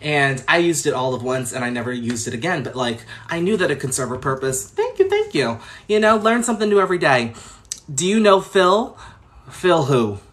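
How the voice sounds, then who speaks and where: Male livestream host, from New York, higher-pitched voice, bright and sharp tone, chatty, expressive, gushing, casual delivery. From Long Island, New York. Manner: fast talker. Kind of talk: casual livestream chat, reading and answering viewer comments.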